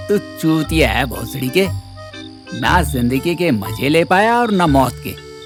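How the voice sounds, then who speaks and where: A man's voice: a little high, sing-song, drawn-out words, music in the background, exaggerated voice. A man playing a cocky, sadistic knife-wielding villain in an audio drama, taunting and sneering with mock politeness. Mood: scornful. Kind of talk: Hindi audio drama acting.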